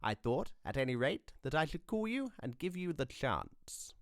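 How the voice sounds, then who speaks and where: A man voicing a brisk, eager male detective who speaks crisply and urgently, in a storybook reading. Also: medium pitch, very animated, no echo, clean studio sound, voice pushed up. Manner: crisp, urgent, precise speech.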